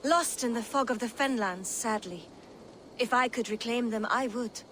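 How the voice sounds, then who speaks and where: A woman's voice: on the high side, moderate pace, fairly bright tone, slight background noise, natural voice. A woman playing an earnest, admiring Viking villager in a video game, with a wistful manner. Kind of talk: video game dialogue acting.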